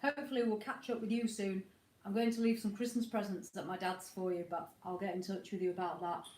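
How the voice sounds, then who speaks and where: Woman, has a cold, slightly snotty, chatty and rambling, mid pitch, echoey room, iPad mic. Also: steady tone, a little fast. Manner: chatty, rambling, warm greetings to viewers. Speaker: co-host of a motorhome live chat. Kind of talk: casual livestream chat. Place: at home, indoors. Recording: roomy echo.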